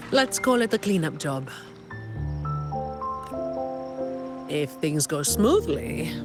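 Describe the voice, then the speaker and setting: A woman's voice: medium pitch, close to an everyday voice. Woman acting wry, work-weary young operative, music behind. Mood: wry, easygoing. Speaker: video game voice actor. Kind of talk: scripted game dialogue.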